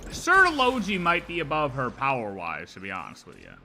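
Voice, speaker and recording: A man's voice: medium pitch, huge pitch swings, moderate pace, bright, forceful tone, a little hiss or hum. A young man casually reacting to a game on a live stream, rambling through his theories.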